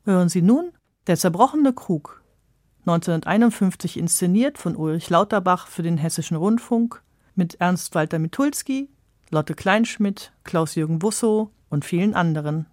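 A woman presenting a scripted radio feature in a measured, explanatory manner with clear articulation. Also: lowish voice, lively intonation, darkish tone, dry recording, studio quality.

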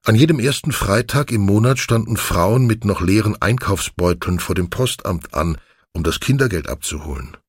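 Low, husky male audiobook narrator. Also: animated, moderate pace, no room sound, clean studio sound.

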